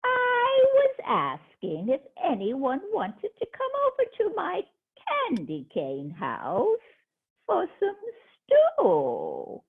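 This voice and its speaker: A woman doing a shrill swooping witch. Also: wildly swooping pitch, slow, husky, full character voice. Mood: petulant. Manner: sing-song, swooping and petulant. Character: silly grumbling female witch, childish and stubborn. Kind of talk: stage play acting. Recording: thin phone-like stage recording.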